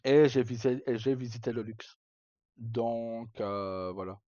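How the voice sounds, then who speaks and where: A man's voice: medium pitch, slow pace, mellow, no room sound, highs cut off. A man hosting a livestream, chatting informally and casually with some mild swearing. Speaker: livestream host. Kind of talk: casual chat.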